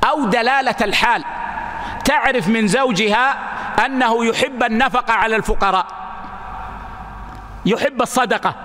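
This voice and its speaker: A man giving a religious lesson, explaining texts in a measured, teaching way and addressing his listeners as brothers. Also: very high voice, sharp tone, long reverb tail, a little hiss or hum.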